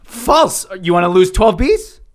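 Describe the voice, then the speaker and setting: Animated man running a tabletop game. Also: sits high, unhurried. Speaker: dungeon master of a tabletop game. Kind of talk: casual game narration and table chat.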